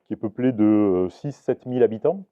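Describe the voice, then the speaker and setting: Low-voiced man lecturing, tinny sound. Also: animated, brisk, mellow, clear, resonant tone. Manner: hesitant, many restarts and fillers. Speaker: Occitan teacher giving a talk. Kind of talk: live lecture to an audience. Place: book fair talk.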